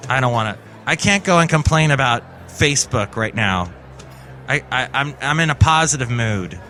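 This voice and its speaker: A man's voice: mid-range voice, bright, forceful tone. A man from California hosting his own podcast in a casual, rambling monologue full of jokey asides. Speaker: podcast host.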